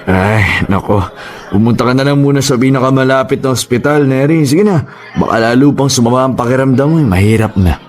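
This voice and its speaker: Isang aktor na gumaganap bilang mabait at maalalahaning amo sa opisina, kaswal ang pananalita sa dramang panradyo. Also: male voice, medium pitch, fairly quick, close to an everyday voice. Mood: concerned, easygoing. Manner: casual, hesitant, humming interjections. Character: concerned, kindly male office boss. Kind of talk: Tagalog radio drama acting.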